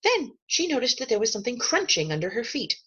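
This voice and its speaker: A woman storyteller reads a children's novel with lively, swooping intonation and measured dramatic emphasis. Her voice is husky, and the recording sounds slightly dull and lo-fi. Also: a little high. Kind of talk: expressive storybook narration.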